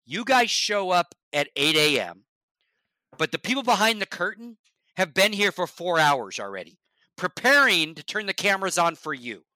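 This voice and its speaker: A man talking shop in a casual podcast chat as a guest from esports broadcasting. He is blunt and emphatic, swears, and often sinks to a low, quiet voice. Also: sing-song, normal speed, no room sound, low-quality recording.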